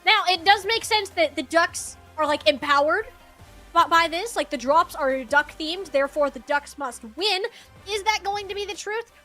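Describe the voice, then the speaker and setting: A woman's voice: very high voice, normal speed, bright, forceful tone. A woman casting esports, chatting through a match preview in a playful, emphatic way. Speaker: esports broadcast caster. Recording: music playing behind. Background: background music.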